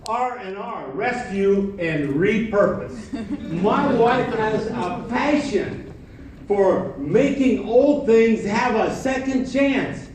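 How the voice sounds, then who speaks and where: A man giving a theatrical, humorous storytelling speech to an audience, with dramatic, drawn-out emphasis. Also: sits high, expressive, a little mellow. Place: large conference room with live audience. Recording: echoey hall from far off, faint noise.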